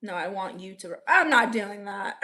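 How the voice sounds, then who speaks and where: A woman's voice: medium pitch, animated, slow pace, sharp tone. A young woman from Orlando, Florida, chatting casually with viewers as livestream host, upbeat and laughing often. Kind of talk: casual chat reading viewer comments.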